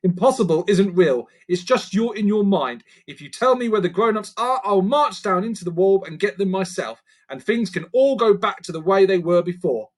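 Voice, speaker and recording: A man voicing a determined young girl in a bedtime story, raising his voice high and earnest. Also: expressive, moderate pace, few pauses.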